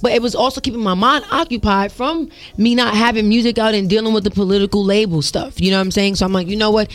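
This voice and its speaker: Fast-talking young woman with a deep voice. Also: no echo, faint music behind. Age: young adult. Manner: rapid, slangy and emphatic. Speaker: singer guest. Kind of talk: casual interview chat.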